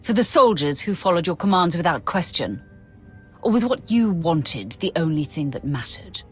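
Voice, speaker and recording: Female actor as stern dark space warrior, composed, formal, commanding, video game cutscene. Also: fairly low pitch, animated, soft background music, close to an everyday voice. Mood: accusing. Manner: formal, measured, authoritative. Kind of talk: dramatic video game acting.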